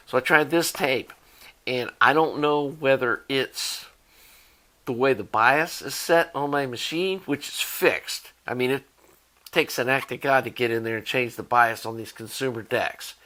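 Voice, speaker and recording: A man's voice: medium pitch, pushed and bright. An American man talking informally as a hobbyist video host, explaining gear in a rambling, think-aloud way. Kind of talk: casual explanatory product talk.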